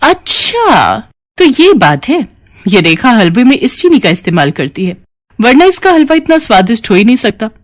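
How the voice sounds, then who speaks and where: A woman's voice: a little high, very animated, moderate pace, dry recording, studio quality, voice pushed up. A woman voicing a jealous, scheming sister-in-law musing slyly to herself in a moral story. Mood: sly, suspicious.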